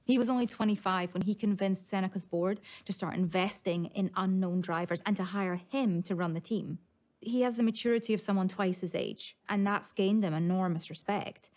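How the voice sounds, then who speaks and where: An actress playing a matter-of-fact female motorsport pundit giving measured documentary commentary. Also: mid-range voice, a little mellow, natural voice.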